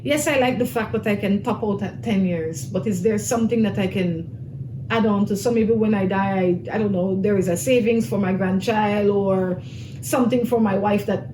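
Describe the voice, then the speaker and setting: A woman hosting a talk show, interviewing a guest and restating his answers to check them. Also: mid-range voice. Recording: echoey room with noise.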